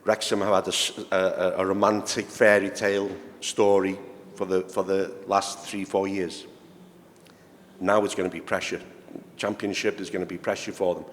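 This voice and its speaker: A man speaking as a sporting director on a conference panel, measured and repeating words as he builds his points. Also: low-pitched, little pitch movement. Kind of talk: panel discussion. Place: conference hall. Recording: reverberant hall with faint noise.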